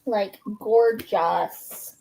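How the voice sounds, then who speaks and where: A woman's voice: mid-range voice, very slow, vocal fry, dark tone, low-quality recording. A woman co-hosting a livestream in casual, chatty talk. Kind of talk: casual chat.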